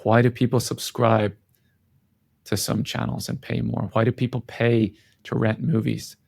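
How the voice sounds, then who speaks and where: A man's voice: medium pitch, level tone, measured pace, gravelly, darkish tone, no room sound, studio quality. A man giving earnest, reflective and emphatic answers as a guest in a conversational interview. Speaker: interview guest, tech company founder and CEO.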